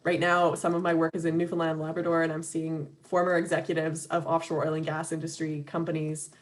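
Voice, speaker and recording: A woman with a slightly low voice gives an earnest, loosely organized talk over a video call. She fills her pauses with ums and restarts, and a light laugh slips in between points. Her voice sounds a little worn, as if she is starting to lose it. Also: level tone. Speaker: webinar speaker for an advocacy organization. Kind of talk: conversational talk.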